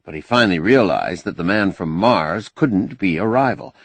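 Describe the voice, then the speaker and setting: Male fiction narrator: unhurried, expressive storytelling, slightly low husky voice, close dry sound. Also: no echo. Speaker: audiobook narrator.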